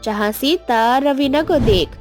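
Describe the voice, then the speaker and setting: High-pitched woman narrating a story. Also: takes it slowly, faint music behind.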